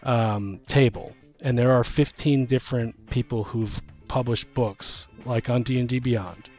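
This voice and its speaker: A man's voice: mid-range voice, fairly even intonation, unhurried, a little mellow, faint music behind. A man in a casual podcast discussion, talking informally and arguing his point through hypothetical examples.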